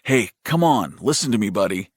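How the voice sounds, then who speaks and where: A man's voice: on the low side, sing-song, normal speed, breathy edge, no room sound, studio quality. A man voicing a patient, protective older brother in an audiobook, coaxing and reassuring. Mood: exasperated, coaxing. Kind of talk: audiobook character voice.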